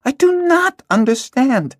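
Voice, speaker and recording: A frustrated young girl pleads in a high, drawn-out voice, as a male audiobook narrator puts her on. Her pitch swings wildly, leaping toward a shriek. The sound is dry and close. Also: very animated, no echo, exaggerated voice. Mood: exasperated.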